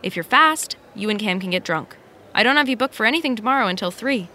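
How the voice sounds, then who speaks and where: Bright, bossy TV assistant. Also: female voice, medium pitch, animated, fast talker. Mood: teasing, brisk. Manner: punchy, gleeful, no-nonsense. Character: brisk, bossy, teasing female TV production assistant. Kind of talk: naturalistic audio drama acting.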